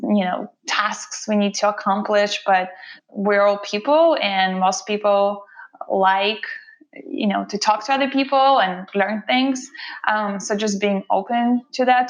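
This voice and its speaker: A woman's voice: medium pitch, steady tone. A woman from Russia with a Russian accent, a guest talking reflectively about her career in an interview. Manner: reflective, unhurried, with fillers and restarts. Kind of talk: conversational interview.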